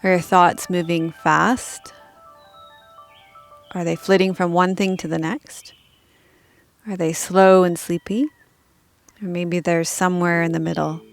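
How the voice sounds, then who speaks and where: Woman, guided meditation, gentle and measured, resonant clear tone, some vocal fry, soft background music. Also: lowish voice. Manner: calm, gentle, measured instruction with pauses. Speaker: yoga and meditation teacher.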